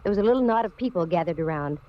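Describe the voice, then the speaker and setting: An actress playing a breezy, self-assured, hard-boiled private detective in 1940s radio style. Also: female voice, lowish voice, expressive, very fast, lightly acted. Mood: wry narrating. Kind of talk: 1940s radio detective acting, narration and dialogue. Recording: muffled, noisy old radio recording.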